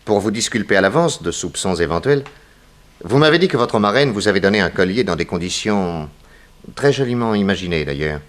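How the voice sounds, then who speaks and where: A man playing a shrewd, authoritative detective in a 1960s French radio mystery, addressing suspects with deliberate, theatrical command. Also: fairly low pitch, expressive, lightly acted. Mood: explanatory, assured.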